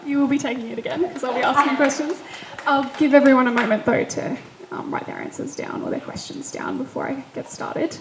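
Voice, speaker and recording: A woman's voice: sits high, a little fast, roomy sound, background noise. A woman moderating a panel discussion, introducing herself and posing questions in a friendly way.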